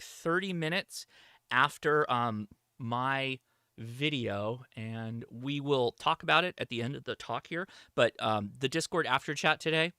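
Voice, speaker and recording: A man's voice: mid-range voice, pushed and bright, dry recording, clean studio sound. A man presenting a hobby lesson in a casual, explanatory way, informally stressing the key points as he goes. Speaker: presenter of an amateur radio show. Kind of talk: casual live slideshow lesson.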